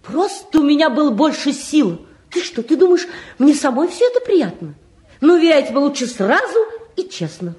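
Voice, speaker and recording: High-voiced, fretful mother. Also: very animated, normal speed, a little mellow. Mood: worried. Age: middle-aged. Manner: agitated, pleading, repeats herself. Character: anxious, fretful mother and housewife, fussing over her sons. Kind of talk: mid-century Soviet radio-play acting.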